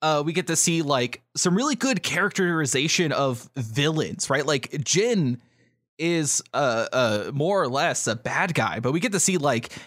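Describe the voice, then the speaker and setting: A man's voice: fairly high pitch, lively intonation, a little slow, a bit bright, dry recording, studio quality. A man co-hosting a podcast in casual chat, enthusiastic and free with swearing. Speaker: podcast co-host.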